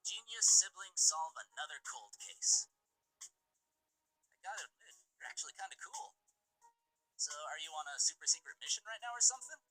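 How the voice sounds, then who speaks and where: A man puts on a smug young male hacker for a visual novel game, husky and half-whispered. The pitch swings widely with a sharp, bright edge as he teases and pries like he knows every secret. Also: expressive, moderate pace, long pauses, soft background music, heavily acted, low-quality recording. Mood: sly, impressed. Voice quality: breathy, raspy half-whisper.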